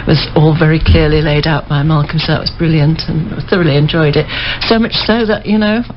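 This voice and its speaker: A woman's voice: low-pitched, steady tone, brisk. Middle-aged woman, chatty, noisy dull recording. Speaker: interview guest, amateur actor. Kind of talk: casual radio interview.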